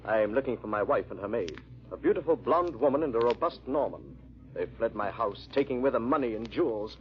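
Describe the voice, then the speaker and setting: An actor playing a suave, haughty villainous husband in theatrical 1940s radio drama style, smooth and impatient. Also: male voice, medium pitch, expressive, a little fast, a little hiss or hum, lightly acted. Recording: muffled vintage radio recording.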